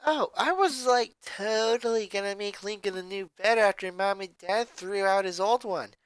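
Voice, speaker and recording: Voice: medium pitch, moderate pace, smooth, no room sound, pitched above the performer's own voice, lightly acted. A fan reader voicing a bubbly, airheaded blonde teenage girl with valley-girl talk. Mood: gushing.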